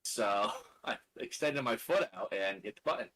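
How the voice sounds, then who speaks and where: A man's voice: on the low side, lively intonation, normal speed, pushed and bright, poor audio. A man casually narrating his own moves in a tabletop card game, muttering and counting aloud as he thinks. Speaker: card game player and streamer.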